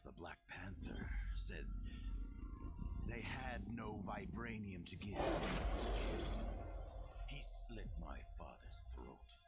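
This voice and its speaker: An actor playing a menacing, vengeful villain in a game cutscene, gravelly and slow, with theatrical threat. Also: male voice, lowish voice, sing-song, over loud music, heavily acted. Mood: cold menace. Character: menacing vengeful male villain arms dealer. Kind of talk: cinematic game cutscene acting.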